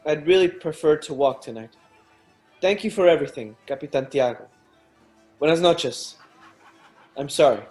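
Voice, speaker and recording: Polite troubled young gentleman, slightly high voice, radio play, music behind. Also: normal speed, long pauses, husky. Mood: dejected, courteous. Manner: earnest, polite. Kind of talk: radio drama acting.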